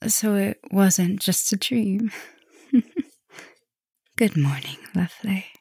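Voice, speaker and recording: A woman playing an affectionate, softly dominant vampire professor in an intimate ASMR roleplay, speaking softly and teasingly, often dropping into a whisper. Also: medium pitch, animated, drawn-out words, gravelly, husky, dry recording, full character voice. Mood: tender, loving.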